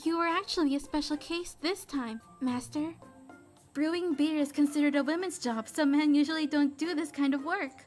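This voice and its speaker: A woman's voice: sits high, normal speed, darkish tone, faint music behind, lightly acted. A woman playing a polite, studious young female companion in a comic dub, explaining things precisely and earnestly. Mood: curious.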